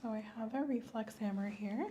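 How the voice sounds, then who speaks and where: A woman doing a soft, slow ASMR medical exam roleplay, giving gentle clinical instructions, often in a whisper, close to the microphone. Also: a little high, lo-fi sound, slight background noise. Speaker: ASMR host playing a clinical examiner.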